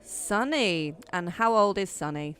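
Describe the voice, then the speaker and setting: A woman's voice: mid-range voice, animated, unhurried, fairly bright tone, clean tone. A woman playing a guarded, sarcastic but kind young woman in an audio drama, speaking in a casual, wry way.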